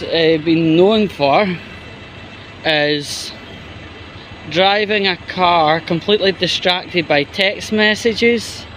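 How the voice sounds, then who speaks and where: A man giving casual opinion commentary in a halting, rambling, disapproving way. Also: high voice, fairly bright tone, slight background noise. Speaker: commentary YouTuber.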